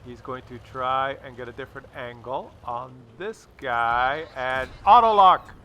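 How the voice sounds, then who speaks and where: A man's voice: medium pitch, unhurried, sharp tone. A man giving casual commentary on a game replay, with a jokey, crude sense of humour and a habit of repeating his phrases. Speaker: host of gameplay commentary video.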